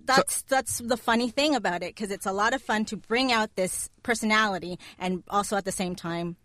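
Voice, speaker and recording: A woman's voice: medium pitch, normal speed, fairly bright tone, no echo. A woman guest on a radio talk show, chatting animatedly about fighting in a pillow fight league. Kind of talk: casual chat.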